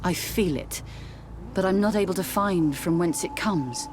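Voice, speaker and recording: An actress playing a devout, troubled nun in a video game, speaking formally and with measured phrasing. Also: female voice, lowish voice, noisy background, lightly acted. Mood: uneasy, troubled. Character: uneasy, devout nun investigating evil in the wilds. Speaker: game character actor. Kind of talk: scripted video game dialogue.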